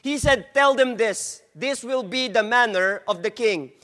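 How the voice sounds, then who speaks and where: A man from the Philippines with a strong Filipino accent, preaching a slow, emphatic sermon as a guest preacher and reading scripture. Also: very high voice, pushed and bright, in a large hall. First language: not English.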